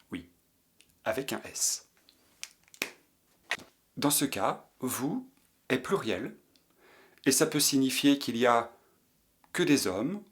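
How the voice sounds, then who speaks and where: A man teaching a language lesson, patiently repeating each example sentence for learners to copy. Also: medium pitch. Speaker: French teacher. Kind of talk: slow French lesson for learners.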